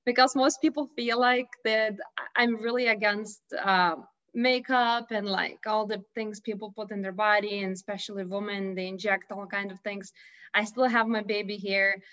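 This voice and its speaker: A woman's voice: mid-range voice, steady tone, normal speed, a bit bright. A woman from Lithuania with a Lithuanian accent, a guest on a remote interview who speaks in an upbeat, earnest way, often restarting her sentences. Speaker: guest, holistic health consultant. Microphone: video call. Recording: dull lo-fi video call audio.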